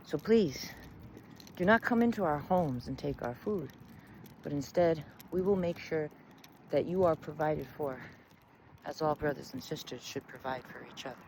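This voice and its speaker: Storyteller as an emphatic, expressive old woman. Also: low voice, moderate pace, slight background noise, rough recording. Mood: humbled, apologetic. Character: old woman, blunt and wary, later humbled. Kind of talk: oral storytelling.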